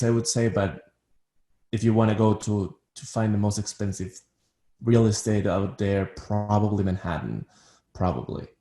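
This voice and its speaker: A man from Ecuador speaks with a fairly low voice as an interview guest. He talks thoughtfully and often repeats words while starting a sentence. The recording has a noticeable roomy echo. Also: a little mellow. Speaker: guest, psychiatrist. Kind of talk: interview conversation.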